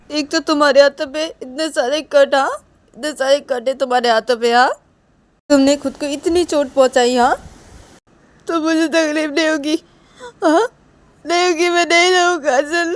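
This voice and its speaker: A woman's voice: mid-range voice, voice pushed up, natural voice. Woman acting an agitated, scolding, caring young woman. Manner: agitated, insistent, repeats phrases over and over. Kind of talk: improvised dramatic storytelling dialogue.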